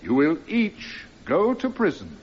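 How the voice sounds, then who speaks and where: A stern, expressive judge. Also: male voice, a little high. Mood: firm, severe. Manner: formal, deliberate, authoritative. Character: stern, measured male judge passing sentence. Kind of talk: radio drama acting. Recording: muffled radio drama recording, faint hiss.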